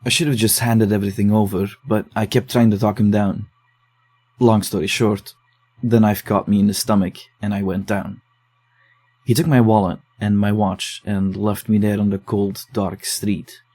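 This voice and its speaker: Male actor playing investigator, horror audio drama, lowish pitch, very mellow tone, subdued, measured, low-key. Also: level tone, close to an everyday voice. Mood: earnest, narrating. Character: dogged, low-key man investigating psychiatric case files. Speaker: investigator digging through case notes.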